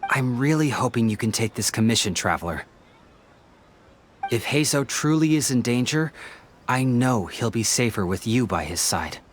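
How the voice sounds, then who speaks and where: An actor playing an earnest, polite young male detective's assistant in a video game, with an expressive, pleading manner that is easily exasperated. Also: medium pitch, moderate pace, slight background noise, lightly acted. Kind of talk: English video game voice acting. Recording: background music under the dialogue.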